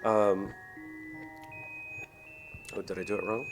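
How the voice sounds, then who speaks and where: A man hosting a game livestream, talking casually to viewers in a halting way, thinking aloud as he goes. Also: lowish voice, little pitch movement. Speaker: game streamer, host. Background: game music.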